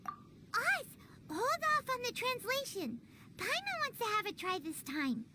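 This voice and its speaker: A woman voicing a small, peppy, blunt fairy companion with an excitable childlike manner in an animated game style. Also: very high voice, measured pace, very noisy, full character voice. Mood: cheerful. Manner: refers to self in third person, excitable.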